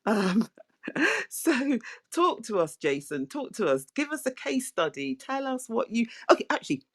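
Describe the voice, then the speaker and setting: A woman hosting an interview in an online audio room, soft-voiced and eager. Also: a little high, sing-song, measured pace, husky. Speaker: host. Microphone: remote audio-chat connection.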